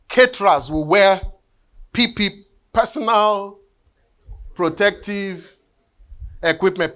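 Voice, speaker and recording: A man preaches in a voice that sits a little higher than most men's. He tells a personal story earnestly and often drops to a hush for weight. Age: 50s. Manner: earnest storytelling, sinking to hushed tones. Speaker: bishop. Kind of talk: sermon with personal testimony.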